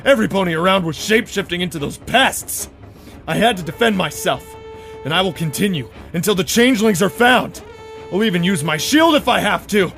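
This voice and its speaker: A man's voice: high-pitched, lively intonation, brisk, gravelly, fairly bright tone, soft background music, full character voice. A man playing a paranoid, agitated pony prince who rants defiantly in a cartoon fan drama. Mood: agitated, insistent. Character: frantic, paranoid pony prince firing magic at imagined foes. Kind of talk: animated fan audio drama acting.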